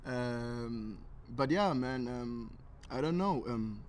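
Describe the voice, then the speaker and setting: A man's voice: mid-range voice, animated, slow pace. A young man from Tanzania talking casually and earnestly as an interview guest, thinking things through. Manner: reflective, earnest.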